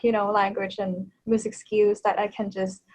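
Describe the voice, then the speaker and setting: A woman in her thirties from Thailand, a native Thai speaker, talking as an interview guest in a hesitant way, with many fillers and soft laughs. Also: mid-range voice, little pitch movement, moderate pace. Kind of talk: casual interview chat.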